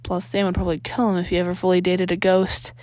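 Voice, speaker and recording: A woman's voice: lowish voice, completely flat delivery, quick pace, a little mellow, no echo. A woman reading a story aloud as a scripted narrator. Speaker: podfic reader.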